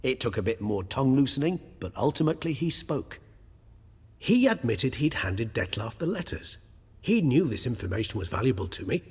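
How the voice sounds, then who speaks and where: An actor playing an erudite, pensive gentleman scholar in a video game, speaking in formal, wordy musings. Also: mid-range voice, very animated, moderate pace, slightly rough, long reverb tail, full character voice. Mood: measured, pleased. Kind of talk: video game dialogue acting.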